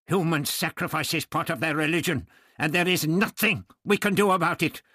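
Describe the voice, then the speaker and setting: A man's voice: medium pitch, very animated, slow, breathy edge, no echo, studio quality, voice pushed up, heavily acted. A man voicing an irascible, authoritative older doctor in an audiobook story, with emphatic, old-fashioned phrasing. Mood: angry, scolding. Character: irascible, authoritative older male doctor, gruff but kindly.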